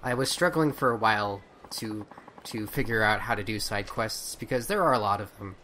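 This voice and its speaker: Low-voiced man narrating a game. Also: moderate pace, slight background noise. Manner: relaxed, rambling, trails off and restarts. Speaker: let's play host. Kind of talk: casual game commentary.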